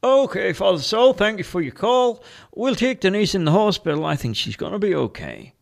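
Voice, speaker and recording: Man voicing a quick reassuring paramedic, high, gravelly. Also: very animated, full character voice. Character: brisk male ambulance officer. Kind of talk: amateur play read aloud.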